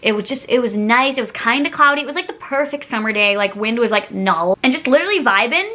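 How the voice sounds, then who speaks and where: A young woman vlogger with a fairly high, very bright and forceful voice. She chats casually as if telling friends a story, with a dramatic, giggly delivery that keeps wandering off on tangents. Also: lively intonation, fast. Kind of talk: casual storytime chat to camera.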